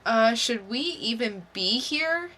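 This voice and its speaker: A woman's voice: on the high side, animated, slow pace, pushed and bright, exaggerated voice. A woman playing an awkward, nervous young man in an amateur comic book reading, sounding hesitant and unsure. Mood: hesitant, surprised.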